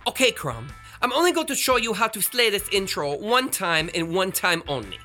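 A woman's voice: medium pitch, sing-song, normal speed, bright, forceful tone, faint music behind. A woman voicing a flamboyant, bossy, self-adoring radio star in a children's comedy audio drama, with a theatrical manner. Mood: showy, bossy. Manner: theatrical, exasperated, showy.

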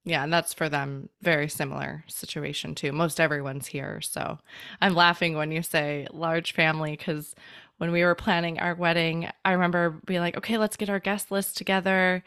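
A woman's voice: sits low, flat delivery, moderate pace, gravelly, a bit bright, clean tone, dry recording, studio quality. A woman hosting her podcast in a chatty, easygoing way, laughing easily. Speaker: podcast host. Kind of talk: casual interview chat.

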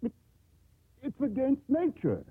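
An actor playing a stern, disapproving shipowner in a 1950s radio drama, stuffy and indignant in manner. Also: male voice, extremely high pitch, wildly swooping pitch, takes it slowly, very noisy, exaggerated voice. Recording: muffled old 1950s radio recording.

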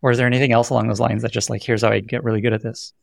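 A man's voice: medium pitch, little pitch movement, very fast, creaky, no echo, clean studio sound. A man hosting an interview podcast, asking questions in a conversational way. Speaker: podcast host.

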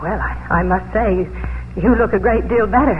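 An actress playing a young, proud but kindly colonial farm mistress, proper, refined and earnest, in a 1950s radio drama. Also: female voice, medium pitch, normal speed, phone-quality sound, slight background noise, close to an everyday voice. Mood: brisk, flustered. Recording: band-limited old radio broadcast with music.

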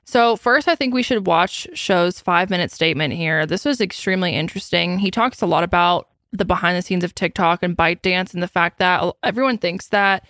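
A woman's voice: lowish voice, fairly quick, hardly any pauses, fairly bright tone, dry recording. A woman hosting a podcast, talking casually and setting up clips for her co-hosts. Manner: chatty, conversational, sets up clips. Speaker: podcast host. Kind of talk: casual chat.

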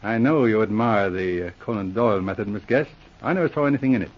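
An actor playing a patient, methodical Mountie sergeant who teases dryly, in 1940s radio acting. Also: male voice, lowish voice, quick pace, natural voice. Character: methodical, dry-witted male Mountie sergeant. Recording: dull lo-fi old radio broadcast.

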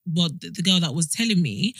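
A woman's voice: fairly low pitch, expressive, brisk, a bit bright, dry recording, studio quality. A British woman in her twenties co-hosting a podcast, telling a personal story in a candid, wry way. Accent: British English. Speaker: podcast co-host. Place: podcast studio.

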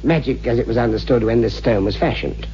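An actor playing a kindly, learned friend in a 1960s radio horror drama, explaining and cautioning in a measured, gentle manner. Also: male voice, medium pitch, close to an everyday voice. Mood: grave, cautioning. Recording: muffled old radio recording, faint hum.

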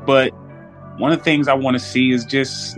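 A man's voice: medium pitch, fairly even intonation, normal speed, soft background music. A man with an American accent talking casually as a podcast guest, with plenty of slang and swearing.

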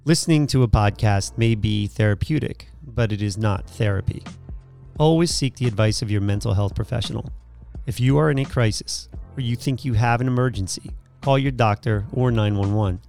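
Male announcer reading a scripted outro; even and measured, with a gravelly edge. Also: mid-range voice. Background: soft music.

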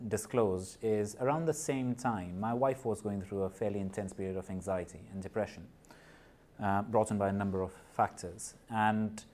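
A man who grew up in Nepal and India speaks as a guest in a podcast interview. His voice sits slightly low. He talks earnestly and often stops to restart a phrase or repeat a word as he gathers his thoughts. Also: darkish tone. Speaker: guest, corporate partner and mental health advocate.

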